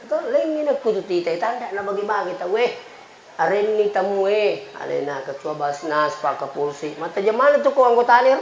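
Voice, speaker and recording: Male preacher, forties, Bugis accent, high-pitched voice, bantering folksy sermon, dull echoey sound. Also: animated, moderate pace. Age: 40s. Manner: folksy, bantering, repeats phrases to listeners. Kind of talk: humorous sermon mixing two languages. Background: crowd murmur and light noise.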